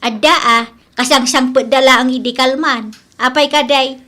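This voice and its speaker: A woman's voice: high-pitched, steady tone, moderate pace, sharp tone. A woman playing a bossy, grumbling, money-minded older landlady in a radio comedy drama, scolding and nagging emphatically.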